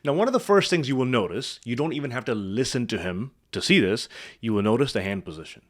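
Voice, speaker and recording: Male speech coach, expressive pitch, direct rhetorical coaching delivery. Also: quick pace. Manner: animated, asks the viewer rhetorical questions. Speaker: speaking coach. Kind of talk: coaching commentary on a video.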